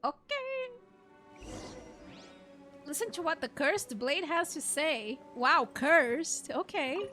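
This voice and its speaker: High-voiced woman streamer reacting casually. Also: lively intonation, a little slow, pushed and bright. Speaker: game streamer. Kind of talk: casual playthrough reaction chat. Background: game music.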